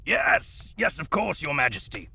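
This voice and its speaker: Tense, sharp-voiced palace guard. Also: male voice, on the high side, huge pitch swings, measured pace, vocal fry, a little hiss or hum, heavily acted. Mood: nervous obedience. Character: anxious, obedient male palace guard. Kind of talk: fantasy audio drama acting.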